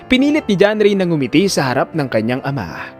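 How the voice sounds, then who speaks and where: A man narrating a scripted audio drama in a solemn, expressive, theatrical storytelling style. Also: a little high, fast, soft background music.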